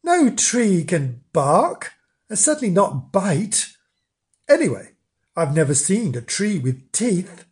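Woman as fat, bossy, lively she-cat. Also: on the low side. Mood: scoffing. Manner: brisk, incredulous, bossy. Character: fat, confident, amused female cat.

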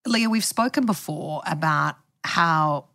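A woman's voice: low-pitched, expressive, slow, pushed and bright. A woman podcast host narrating events and putting questions to a guest. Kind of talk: narrative interviewing.

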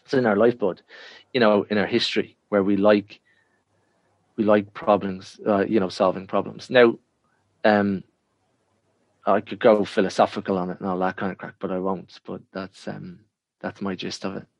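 A frank Irish man talks in a lowish register, leaning hard on his points and often saying them twice. His voice is dry and close, with no room sound. He sounds like he is on a video call. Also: level tone, normal speed, creaky, long pauses, dry recording. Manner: frank, emphatic, repeats himself when making a point. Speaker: interview guest. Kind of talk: conversational interview answers.

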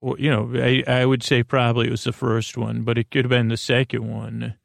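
A man hosting a bedtime podcast in a meandering, halting monologue, often drifting off his train of thought. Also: mid-range voice, completely flat delivery, gravelly, no room sound, studio quality. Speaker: podcast host.

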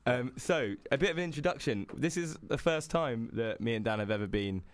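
A man's voice: mid-range voice, lively intonation, brisk, no room sound. A man co-hosting a podcast, casual and rambling, laughing often. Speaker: podcast co-host. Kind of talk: casual chat.

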